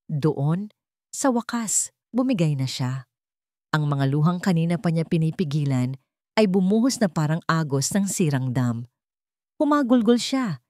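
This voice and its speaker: A woman's voice: lowish voice, a little mellow, no room sound, clean studio sound. A woman reading scripted fiction as a narrator, in a solemn, emotive storytelling style.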